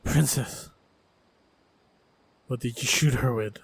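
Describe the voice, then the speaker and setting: A man playing a calm, modest human swordsman and loyal companion in a fantasy action audio roleplay, speaking in measured, low-key short phrases. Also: gravelly, husky, exaggerated voice. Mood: urgent.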